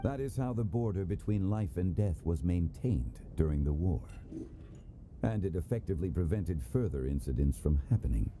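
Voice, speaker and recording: A man voicing a dignified, scholarly consultant in a video game, formal and measured, with music behind him. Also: low-pitched, expressive. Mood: solemn, explanatory. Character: dignified, scholarly male consultant recounting ancient history.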